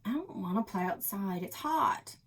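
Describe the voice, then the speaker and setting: A woman's voice: mid-range voice, animated, moderate pace, slightly rough. A woman voicing a smug, grumbling young boy who is bossy and competitive, in a children's book read-aloud. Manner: grumbling, snorting, boastful.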